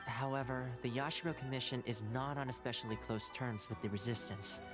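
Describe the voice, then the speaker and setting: Guy acting a loyal young retainer, anime game, medium pitch, polite n measured, reassuring, music behind. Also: steady tone, close to an everyday voice. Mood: calm, informative. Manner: measured, courteous, articulate.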